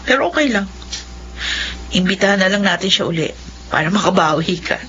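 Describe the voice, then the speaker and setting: A woman's voice: medium pitch, animated, brisk, a bit bright, slight background noise, lightly acted. A woman acting as a chatty older cousin who pushes a suitor, talking in a fussing, pushy way. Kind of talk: spoken drama acting. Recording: dull lo-fi audio.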